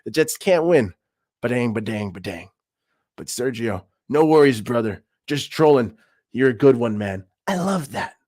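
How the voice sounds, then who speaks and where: A man's voice: mid-range voice, lively intonation, moderate pace, a little mellow, no room sound, clean studio sound. A man in his thirties from Peru, hosting a live-stream sports talk show with hyped, trash-talking banter. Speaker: podcast host.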